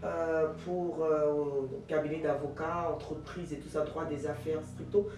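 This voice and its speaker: A woman in her forties from Ivory Coast, a guest telling her career story in an animated way, with many fillers and restarts. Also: sits low, unhurried, roomy sound, distant mic, background noise. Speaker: guest, jurist and teacher. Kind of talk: interview answers.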